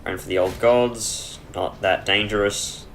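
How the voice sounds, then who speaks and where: Deep-voiced guy on a headset, chesty and throat-clearing, rambling over his game with a faint hiss behind. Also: fairly even intonation, a little slow, a bit bright. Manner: rambling, self-correcting, dry humor. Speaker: let's play gamer. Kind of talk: casual gameplay commentary.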